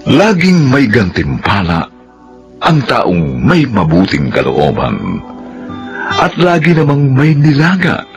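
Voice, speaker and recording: A male narrator reading a scripted closing line and credits in a measured, deliberate way. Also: medium pitch, wildly swooping pitch. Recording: clear music underneath.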